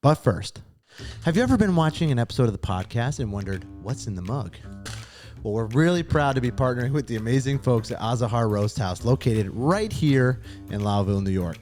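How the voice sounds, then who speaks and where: A man's voice: mid-range voice, animated, fairly quick, a little mellow, soft background music. A man hosting a podcast from a small studio, reading an upbeat introduction and then chatting casually and laughing easily with his guest. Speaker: podcast host. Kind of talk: read sponsor intro, then casual chat.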